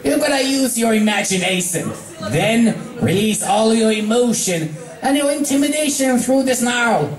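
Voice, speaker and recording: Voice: mid-range voice, animated, normal speed, voice pushed up, lightly acted. A person voicing a brash, impatient young female pegasus pony who pushes and coaches, in a story reading. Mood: impatient coaching. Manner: pushy, exasperated. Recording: echoey room, distant mic.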